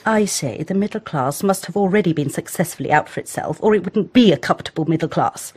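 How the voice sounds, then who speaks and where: A woman's voice: lowish voice, expressive, fast talker, darkish tone, breathy edge. A woman acting in a radio play as an earnest, principled, severe young socialist, articulate. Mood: indignant, earnest. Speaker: actor in an audio play. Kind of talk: British radio drama acting.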